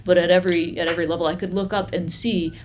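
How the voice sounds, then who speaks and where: Middle-aged woman general, lowish voice, steady and matter of fact. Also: fast talker, a little hiss or hum. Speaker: army general. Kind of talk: interview answer.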